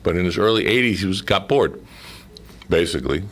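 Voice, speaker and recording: Male talk guest, very deep register, conversational storyteller with frequent asides. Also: animated, fairly quick, fairly bright tone. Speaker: guest, amateur radio play actor. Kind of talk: casual anecdotal studio chat.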